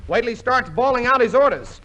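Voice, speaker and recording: High-pitched brash wisecracker. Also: male voice, expressive, brisk, a bit bright. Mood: bustling, cheeky. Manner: exclamatory, mock-incredulous joke delivery. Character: Johnny, brash wisecracking reluctant army recruit. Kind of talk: 1950s radio comedy acting. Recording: noisy 1950s radio recording.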